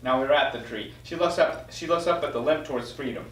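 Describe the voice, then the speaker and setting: A man narrates scene headings and action from a screenplay at a table read. His voice is mid-pitched, caught from some distance in a roomy, echoing space. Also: fairly quick, fairly bright tone. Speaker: stage directions reader. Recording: roomy echo, distant microphone.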